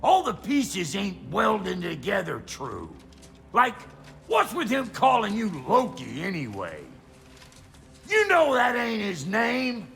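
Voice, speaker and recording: An actor playing a gruff, blunt, foul-mouthed dwarf blacksmith with a gravelly, folksy way of talking in a video game. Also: male voice, high-pitched, wildly swooping pitch, slow pace, a bit bright, faint music behind, exaggerated voice. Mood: suspicious, irritated. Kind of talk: video game cutscene acting.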